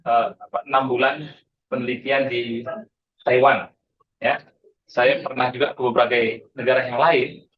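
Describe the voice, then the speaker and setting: An Indonesian man in his late thirties lectures in an informal, conversational way. His voice sits at a middling pitch, and he often drops in short tags to check that listeners follow. The recording is somewhat dull and low in fidelity. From Bengkulu, Indonesia. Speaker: university lecturer. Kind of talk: informal lecture to students, mixing in English phrases.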